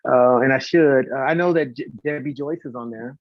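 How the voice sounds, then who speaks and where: A man chatting casually as a guest singer, talkative and effusive, rambling excitedly about his plans and fellow performers. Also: medium pitch, moderate pace, no room sound, dull audio. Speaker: guest, singer and concert organiser. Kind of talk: casual live chat, talkative promotion.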